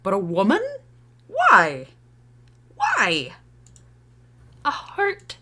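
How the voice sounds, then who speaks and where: A woman's voice: on the low side, very animated, moderate pace, a little hiss or hum. A woman playing a stern warrior mother who rules her tribe, commanding and scornful. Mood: scornful, outraged.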